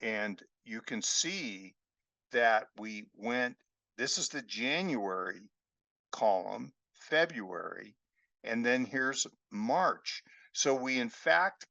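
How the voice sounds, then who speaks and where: A man hosting a market chart lesson, explaining slowly with fillers and a voice he says is going hoarse. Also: medium pitch, a bit bright, dry recording. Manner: slow, measured explaining with fillers. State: losing his voice. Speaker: market analyst host. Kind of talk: screen-share chart lesson. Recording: dry, dull lo-fi voice-over.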